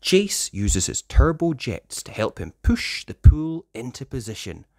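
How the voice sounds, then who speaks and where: A person reading a children's story aloud in expressive narration. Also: mid-range voice, measured pace, creaky, no room sound, studio quality. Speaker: storybook reader.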